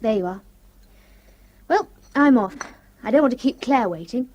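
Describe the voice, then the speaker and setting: Woman voicing a brisk cheerful wife, high lively voice, radio play. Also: highs cut off, slight background noise, natural voice. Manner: brisk, bright.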